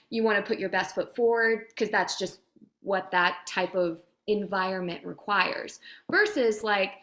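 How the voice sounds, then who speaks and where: A woman's voice: medium pitch, a bit bright. A woman giving conversational advice in a Q&A, explaining things with everyday analogies. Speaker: guest voice demo producer.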